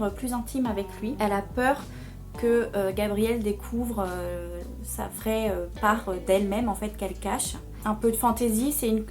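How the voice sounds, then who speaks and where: A young woman talking casually about books, pausing often and filling gaps with hesitant sounds. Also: fairly high pitch. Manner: hesitant, many fillers. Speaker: book vlogger. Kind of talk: casual book chat.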